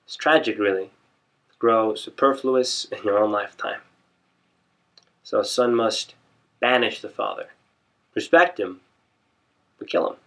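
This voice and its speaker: A man performing an audition monologue as a defiant young painter, speaking in an emphatic, deliberate, scornful way. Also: on the low side, vocal fry, a bit bright. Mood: scornful, challenging.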